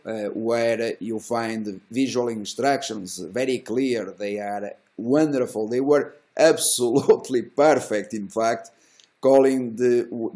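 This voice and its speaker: A middle-aged man who is not a native English speaker, giving unscripted market commentary in halting fragments with drawn-out hesitations and a self-assured manner. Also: medium pitch, mellow. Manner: self-assured, boastful about results. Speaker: stock trading educator and host. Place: small indoor room.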